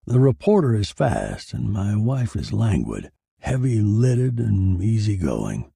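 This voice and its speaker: A man's voice: fairly low pitch, huge pitch swings, slow pace, vocal fry, mellow, breathy edge, no echo, clean studio sound, exaggerated voice. A man voicing a bitter, sardonic dead husband who narrates his own noir horror story in a theatrical way. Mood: wry, brooding. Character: dead murdered husband, bitter, wry, macabre narrating corpse.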